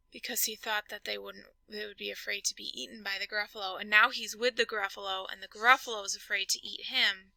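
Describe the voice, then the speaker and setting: A woman's voice: mid-range voice, vocal fry, pushed and bright, dry recording, low-quality recording. A woman reading a children's story aloud with lots of expression. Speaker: story reader.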